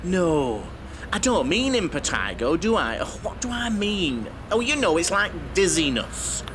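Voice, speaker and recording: A fussy, jokey male passenger prattles away in a high, very animated voice. He is apologetic and easily flustered. Clear vehicle noise sits behind him. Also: unhurried. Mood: chatty, playful. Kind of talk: audio play comedy acting.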